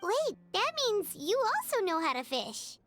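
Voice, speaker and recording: A woman voicing a small, perky, childlike fairy companion in a video game, curious and quick to exclaim. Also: very high-pitched, wildly swooping pitch, a bit bright, soft background music, full character voice. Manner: perky, exclaiming, refers to self by own name.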